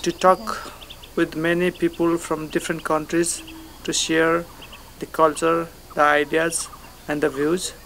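A man in his forties introducing himself as a guest house owner in a plain, measured documentary interview outdoors. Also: fairly high pitch, flat delivery, vocal fry, fairly bright tone, a little hiss or hum. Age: 40s. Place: outdoors on a hillside.

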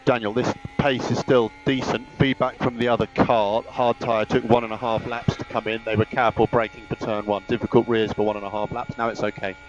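Male race engineer, team radio effect, muffled lo-fi sound, clipped measured delivery, repeated instructions. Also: mid-range voice, level tone, background noise. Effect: team radio transmission.

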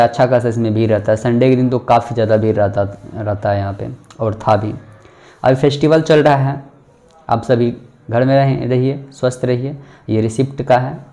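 A man's voice: medium pitch, warm tone. Chatty young man touring a mall. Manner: chatty, promotional, asks shop staff about prices. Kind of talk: casual walk-through vlog. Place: busy indoor shopping mall.

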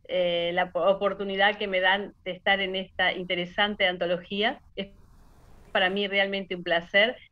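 A woman poet with a Uruguayan Spanish accent, speaking at an online literary event. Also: mid-range voice. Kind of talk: poetry reading and introductory remarks at an online launch. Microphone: video call. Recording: dull lo-fi video call audio.

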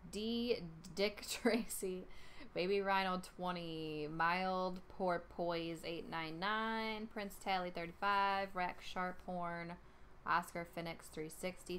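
A woman's voice: fairly low pitch, a bit bright, slight background noise. A young woman with a New York accent, chatting casually on a live stream and reading out names in an informal way. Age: young adult. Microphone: headset.

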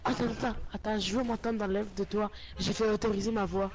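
A woman's voice: medium pitch, steady tone, quick pace, crowd noise. A woman chatting casually and jokingly in slang with a gaming friend. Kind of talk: casual chat. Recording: clearly noisy game voice chat.

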